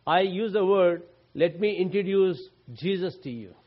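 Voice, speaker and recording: Higher-voiced Indian man preaching, lo-fi. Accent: Indian, Telugu-speaking. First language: Telugu. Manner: halting, repetitive phrasing with emphatic rising stresses. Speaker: guest preacher. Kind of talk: sermon. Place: church. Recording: dull lo-fi recording.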